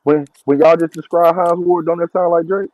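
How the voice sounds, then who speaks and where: A man's voice: fairly high pitch, steady tone, moderate pace, darkish tone, no room sound, poor audio. A man joining a casual sports chat who cuts in with questions.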